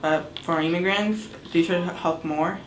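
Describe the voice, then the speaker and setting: A sixteen-year-old girl from El Salvador answering interview questions haltingly, repeating words in simple, English. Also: on the low side, steady tone, reverberant, slight background noise, poor audio. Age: teens.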